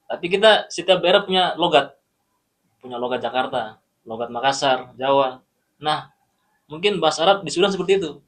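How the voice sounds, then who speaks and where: A man's voice: fairly high pitch, animated, fairly quick, pauses often, fairly bright tone. A man with an Indonesian accent, a guest on a casual podcast, explaining things through comparisons.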